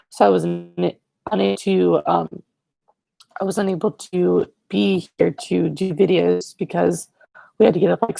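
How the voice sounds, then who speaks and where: A teenage boy hosting a casual livestream, rambling and correcting himself as he chats to viewers, his voice raspy today. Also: high-pitched, dark tone, dry recording, low-quality recording. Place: living room, indoors. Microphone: earbud headset mic, webcam.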